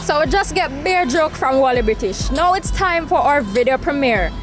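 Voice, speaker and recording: A woman's voice: sits high, animated, moderate pace, over music. A woman, presenting TV show links into a handheld microphone outdoors. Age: 20s. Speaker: show host. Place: outdoors by a busy road.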